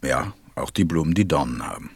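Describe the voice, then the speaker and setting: A man playing a stranded pilot who narrates a radio play in a measured, reflective way. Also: a bass voice, animated, gravelly, breathy edge, dry recording, exaggerated voice. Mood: preoccupied, irritated.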